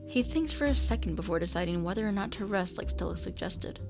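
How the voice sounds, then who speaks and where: A woman's voice: medium pitch, animated, fast talker, a little mellow, soft background music, natural voice. A woman narrating a scripted radio mystery drama in a storytelling manner.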